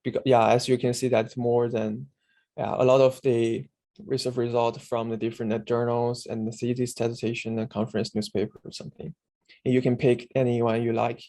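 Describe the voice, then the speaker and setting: A man giving a screen-share tutorial on searching a database, speaking haltingly with many fillers and restarts. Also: medium pitch, flat delivery, mellow. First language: Chinese. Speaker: instructor demonstrating a database.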